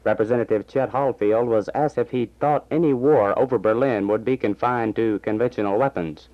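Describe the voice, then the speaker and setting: A dull, lo-fi vintage AM recording carries a male newsreader with a mid-pitched voice. He delivers scripted bulletins in a formal, measured 1960s announcer style. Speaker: radio newsreader and station announcer. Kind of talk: 1960s scripted radio news reading. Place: radio station studio.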